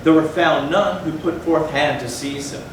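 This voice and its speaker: A man narrating gothic horror as a dramatic stage reading, solemn and theatrical with deliberate phrasing. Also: a little high, fairly even intonation. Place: indoor theatre, recorded from a distance. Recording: very echoey hall, far mic, noisy.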